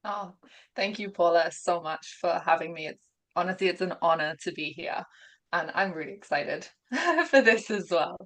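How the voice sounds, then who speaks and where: A woman's voice: lowish voice, animated, moderate pace. A woman from England and Gibraltar with a mixed British accent, a guest on a video call telling her life story openly and earnestly. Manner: open, earnest, laughs often while telling her story. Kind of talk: personal story in an interview.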